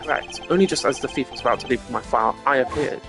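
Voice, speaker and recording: A man voicing a composed, formal male prosecutor in a game, laying out his case in measured, deliberate speech. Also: medium pitch, creaky, close to an everyday voice. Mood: assured, pointed. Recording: music behind the voice.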